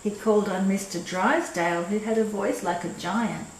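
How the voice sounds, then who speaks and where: An older woman reading a children's storybook aloud in a measured, expressive way. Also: medium pitch, soft background music. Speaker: storyteller reading a picture book. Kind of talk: scripted storybook narration for children. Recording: roomy echo with clear background noise.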